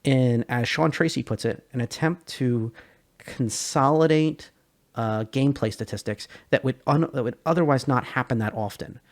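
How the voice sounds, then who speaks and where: A man hosting a video on game lore, presenting it in a measured, deliberate way. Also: mid-range voice. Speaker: host reading game lore and giving analysis. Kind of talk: dramatic read of game lore, then casual analysis.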